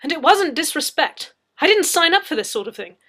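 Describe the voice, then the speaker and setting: An indignant, impetuous young man in an audiobook story, as a woman plays him. The voice is high and jumps up louder, with emphatic protests and a cheeky, self-important air. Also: very animated, a little fast. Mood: indignant, protesting. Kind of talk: dramatic audiobook character reading.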